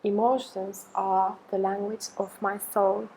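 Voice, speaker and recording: A woman guides an affirmation meditation in a mid-pitched voice that stays very even. She places each phrase slowly and deliberately, leaving long pauses. A faint layer of ambient noise sits behind her. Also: rough recording.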